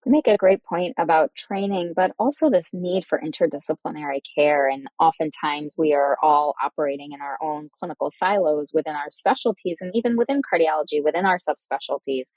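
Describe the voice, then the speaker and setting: A woman's voice: low-pitched, a little fast, few pauses, a little mellow, no echo, poor audio. A woman hosting a medical podcast interview, articulate and formal as she sums up and puts questions. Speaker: host, physician interviewer.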